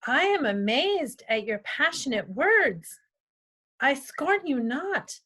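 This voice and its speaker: Bewildered young sweetheart woman reader, Shakespeare table read: high-pitched, crisp-edged bright tone, unhurried verse delivery. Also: very animated, full character voice.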